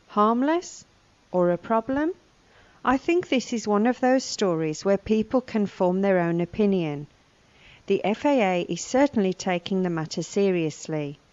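A woman's voice: mid-range voice, a little mellow, dry recording, highs cut off. A woman giving scripted lesson narration, reading vocabulary and a news report. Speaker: language lesson presenter.

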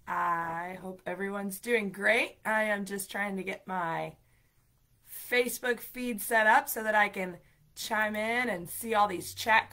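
A woman hosting a livestream, chatty and playful, her voice raspy from a cold. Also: medium pitch, bright, forceful tone. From United States. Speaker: ESL program coordinator and show host. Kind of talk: livestream talk and storytelling. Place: decorated home room. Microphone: laptop webcam, video call framing.

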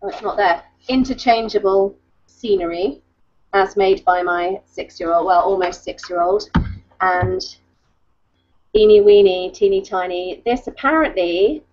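A woman's voice: medium pitch, takes it slowly, pauses often, a little mellow. A woman in her thirties chatting casually as she shows crafts, often interrupting herself, on a video call from home. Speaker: craft blogger. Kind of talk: casual craft show-and-tell. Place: book-lined room at home. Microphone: webcam video call. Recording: dull lo-fi video call audio.

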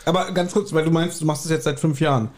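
Fast-talking man on a chatty podcast. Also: fairly high pitch, steady tone, darkish tone. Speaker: podcast co-host. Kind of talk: casual banter.

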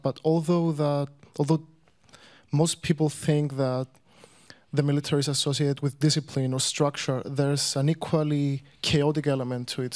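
Young greek guy telling his story in english, flat monotone, lots of ums. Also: fairly high pitch. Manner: hesitant, many ums and restarts. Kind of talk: personal story told to a guest.

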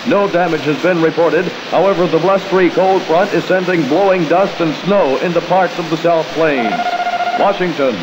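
A man with an American accent reading a scripted news and weather bulletin as a formal radio announcer. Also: a little high. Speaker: radio newscaster. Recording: noisy 1960s AM radio aircheck.

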